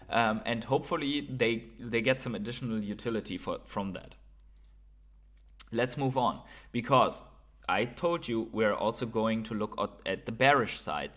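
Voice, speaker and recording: A man with an average-pitched voice hosts an informal commentary video. He lays out his opinions in an explanatory way and often tags his points with a quick check-in question. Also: fairly bright tone. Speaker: host of a crypto and digital collectibles video channel. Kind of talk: informal solo commentary, speculating and reading out posts.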